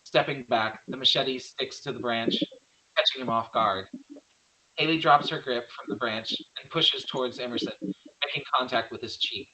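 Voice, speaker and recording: A man's voice: mid-range voice, normal speed, bright, forceful tone, low-quality recording. A man narrating stage directions from a script at a virtual table read.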